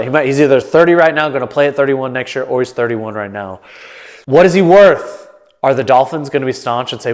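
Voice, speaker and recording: A man hosting his own sports talk show, stuffed up and a bit nasal, thinking aloud in a loose, rambling way to the camera. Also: mid-range voice. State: congested, nasal. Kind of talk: casual solo commentary to camera. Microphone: camera mic, solo setup.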